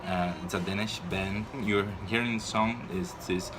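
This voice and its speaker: A man's voice: fairly low pitch, fairly even intonation, moderate pace, noisy background. A man in his twenties from Brazil, speaking English with a Brazilian Portuguese accent in a casual personal vlog, hesitant and shy, with frequent restarts. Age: 20s. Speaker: vlogger.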